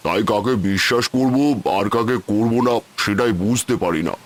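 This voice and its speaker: A man voicing a haughty, suspicious rich city gentleman in a cartoon moral tale, condescending and complaining. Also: lowish voice, moderate pace, slight background noise, lightly acted. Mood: reluctant.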